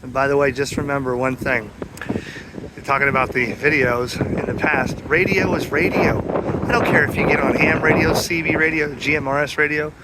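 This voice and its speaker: A man chatting casually and making slangy CB radio calls as a video host outdoors. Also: a little high. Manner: informal, slangy, uses radio call phrases. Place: outdoors at a Florida beach park. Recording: very noisy outdoor radio and vehicle ambience.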